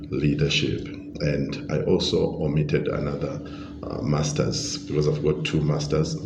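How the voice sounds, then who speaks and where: A middle-aged man with a Zimbabwean accent, giving measured, genial, explanatory answers as an interview guest. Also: rumbling low, gravelly, noisy background. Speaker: podcast guest, leadership coach and pastor. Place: restaurant. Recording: dull, roomy, echoing sound.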